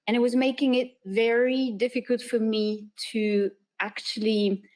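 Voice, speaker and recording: A woman's voice: fairly high pitch, little pitch movement, measured pace, darkish tone. A woman, a guest chatting in an interview in a hesitant, thoughtful way and laughing often. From France. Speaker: guest illustrator and animator. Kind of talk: casual interview chat.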